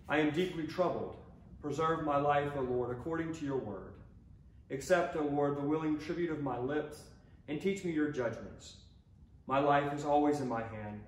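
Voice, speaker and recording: Man reciting prayers from afar, roomy. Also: medium pitch. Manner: measured, solemn recitation. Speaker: officiant leading a prayer service. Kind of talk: liturgical reading of prayers and psalms. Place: inside a church sanctuary by the altar. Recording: roomy, distant mic with faint noise.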